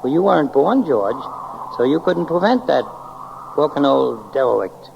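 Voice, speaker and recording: Through a dull, hissy vintage radio recording comes a guardian angel. He is theatrical, wry and insistent, with a creaky voice that rises and falls freely in the 1940s radio manner. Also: fairly high pitch, very animated, moderate pace, darkish tone, heavily acted. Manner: theatrical, challenging, repeats for emphasis. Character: wry, insistent male guardian angel goading his charge. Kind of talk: 1940s radio drama acting. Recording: muffled, noisy old 1940s radio recording.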